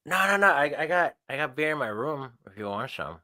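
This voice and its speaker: A man's voice: on the high side, big pitch swings, a little fast, bright, forceful tone, no room sound, studio quality, pitched above the performer's own voice, heavily acted. A man voicing an eager, crude, slovenly biker who stammers and repeats himself in a tabletop vampire game. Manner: repetitive, stammering, over-eager.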